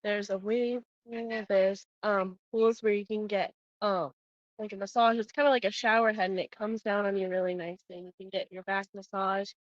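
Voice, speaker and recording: A woman's voice: mid-range voice, steady tone, normal speed, dry recording, highs cut off. A woman with an American accent, co-hosting a travel vlog and describing details in plain, casual chat. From United States. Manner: plain-spoken, listing details.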